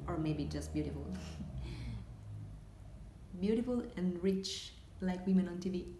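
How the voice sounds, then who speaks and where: A woman's voice: on the low side, lively intonation. A young woman with an Italian accent playing a wistful, hesitant dreamer remembering lost hopes in a dramatic monologue. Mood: wistful, dreamy. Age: young adult. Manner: slow, hesitant, inward. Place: small indoor room, seated at table. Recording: echoey room, faint hiss.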